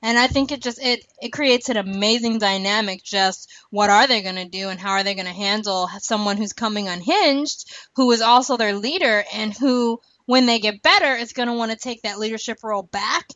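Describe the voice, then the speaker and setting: A woman's voice: on the high side, normal speed, hardly any pauses, a bit bright, dry recording. A woman hosting a podcast, chatting casually and colloquially with strong opinions. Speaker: podcast host. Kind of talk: casual TV discussion chat. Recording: dull, muffled lo-fi audio.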